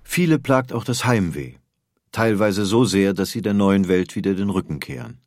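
A man's voice: lowish voice, lively intonation, moderate pace, darkish tone, no echo, clean studio sound. A man narrating a scripted radio documentary.

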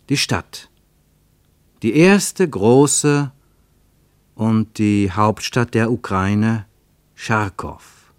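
A man narrating a radio travel play in a measured, lyrical way, with dramatic swings in pitch and loudness. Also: no echo.

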